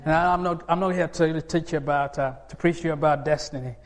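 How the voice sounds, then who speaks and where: A man in his forties, from Uganda, preaching to a congregation. His fairly high voice climbs into emphatic, laughing bursts. It rings with the long reverb of a church hall. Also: fairly even intonation, a little fast. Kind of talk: sermon.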